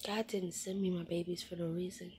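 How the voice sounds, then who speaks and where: A woman in her twenties speaking candidly to her viewers, frank and heartfelt, often dropping to a soft hushed voice and swearing bluntly. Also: fairly low pitch, little pitch movement, fairly quick, breathy edge. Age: 20s. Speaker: vlogger. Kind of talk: candid personal monologue to viewers.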